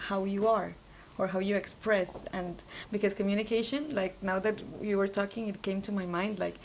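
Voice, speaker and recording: A woman from Colombia, a podcast guest thinking out loud in casual group talk and often reaching for words. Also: medium pitch, a little hiss or hum, low-quality recording. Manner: thoughtful, searching for words with many "like" fillers. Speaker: podcast guest, new team member.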